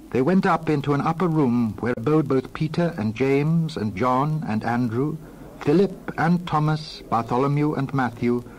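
A man's voice: a little high, a little mellow, poor audio. Solemn male narrator, some background noise. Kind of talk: scripted narration, dramatized Bible reading.

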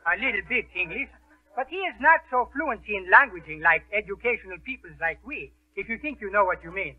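An excitable Greek restaurateur in an old radio comedy, speaks through a thin, phone-like 1930s recording. His voice is very high and swoops around as he mangles his grammar in a thick accent. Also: a little fast, slight background noise. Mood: genial, warning. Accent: Greek, put on. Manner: garbled grammar, mock-learned phrasing. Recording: muffled, band-limited 1930s radio recording.

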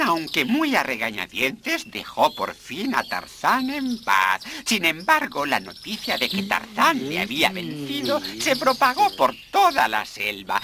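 A man's voice: a little high, noisy background. A man giving scripted storybook narration for a cartoon.

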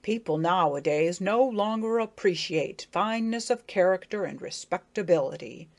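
A woman's voice: fairly low pitch, animated, normal speed. A woman voicing an earnest, self-pitying male dung beetle who talks pompously and stammers. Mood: sorrowful.

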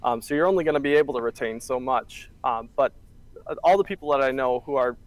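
A young man in his twenties, a church media worker, answering interview questions in a relaxed, chatty way with many fillers, heard over a webcam from a small office. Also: medium pitch, fast talker, poor audio. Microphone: webcam on a video call.

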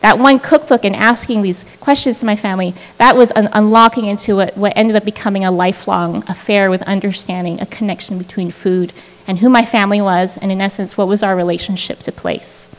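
A woman's voice: mid-range voice, fairly even intonation, brisk. A woman in her thirties giving an earnest, reflective acceptance speech at a podium, with frequent restarts and fillers. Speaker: prize winner giving a speech. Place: large indoor event hall, at a podium. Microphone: podium microphone on a stand. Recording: long reverb of a large hall.

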